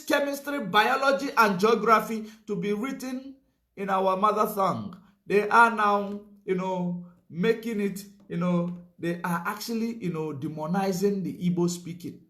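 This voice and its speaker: Emphatic high-voiced man. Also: unhurried, pushed and bright, echoey room. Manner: emphatic, repeats points, many "you know" fillers. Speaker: commentator. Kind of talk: political commentary monologue.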